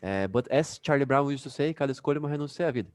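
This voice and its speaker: A man's voice: medium pitch, very fast, darkish tone, no room sound. A Brazilian man with a Brazilian Portuguese accent, teaching an English class casually over a video call and chatting with many fillers.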